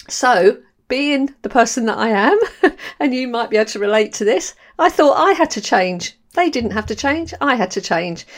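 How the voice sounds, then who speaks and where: An older woman with a London accent hosting her podcast, talking candidly with frequent laughs. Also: fairly high pitch. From London, England. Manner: candid, animated, laughs often. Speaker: podcast host. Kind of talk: personal monologue.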